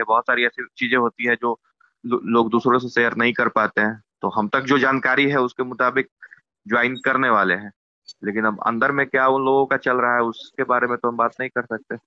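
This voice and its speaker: A man with a Bihari accent explaining politics informally in measured, repetitive phrases as an interviewee on a recorded phone call. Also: bright, forceful tone, dry recording. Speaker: YouTuber. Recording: muffled low-bandwidth phone call recording.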